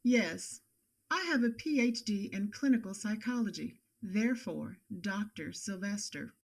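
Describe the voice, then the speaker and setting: A woman's voice: medium pitch, unhurried, vocal fry. A woman acting guarded psychologist on the stand. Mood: guarded, uneasy. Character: guarded professional female psychologist testifying in court. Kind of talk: audio drama acting.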